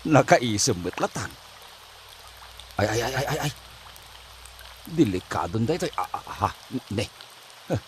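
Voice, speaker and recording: A man playing a nosy, loudly suspicious acquaintance in a comedy audio drama, exclaiming as he teases and interrogates. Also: on the low side, slight background noise, heavily acted.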